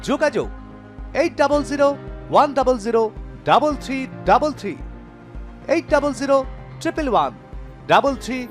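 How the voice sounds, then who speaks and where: Male announcer, scripted commercial read, high pitch, lively intonation, clear music behind. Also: moderate pace, pauses often. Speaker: advertisement announcer. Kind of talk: scripted ad tag with contact number.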